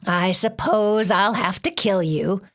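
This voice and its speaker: A woman voicing a stern, scratchy-voiced female monster in a storybook, speaking slowly with cold menace. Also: medium pitch, fairly bright tone. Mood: menacing.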